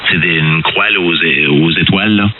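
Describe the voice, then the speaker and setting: A man's voice: low-pitched, moderate pace. A man with a Québécois French accent talking casually over amateur radio, hesitant and often repeating himself. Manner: hesitant, repetitive. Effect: two-way radio transmission. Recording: narrow-band amateur radio with hiss.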